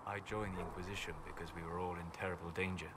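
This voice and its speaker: Reserved, scholarly elven mage, played by a man for a fantasy game: low, formal, thoughtful delivery. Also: little pitch movement, close to an everyday voice. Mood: reflective. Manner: measured, formal, thoughtful phrasing. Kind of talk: video game dialogue acting. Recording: clear ambient noise behind game dialogue.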